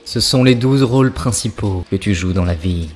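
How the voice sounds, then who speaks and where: A man's voice: fairly low pitch, normal speed, a little mellow, natural voice. A man playing an ancient dwarf priest spirit in a cartoon, a solemn and instructive wise guide. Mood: grave, instructive. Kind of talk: cartoon voice acting, spiritual teaching. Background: background music.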